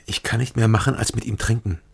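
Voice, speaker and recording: Low, gravelly wary investigator. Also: male voice, steady tone, fast, heavily acted. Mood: wary, sceptical. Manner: terse, matter-of-fact, sceptical. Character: wary, dry male investigator narrating his own case. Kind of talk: radio crime drama narration and dialogue.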